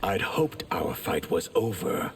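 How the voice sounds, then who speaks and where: A proud, guarded mage from a fighting game. He speaks slowly in a very deep voice, with haughty, measured delivery. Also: big pitch swings, a little hiss or hum, heavily acted. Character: haughty defensive male high mage. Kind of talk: video game fight intro dialogue.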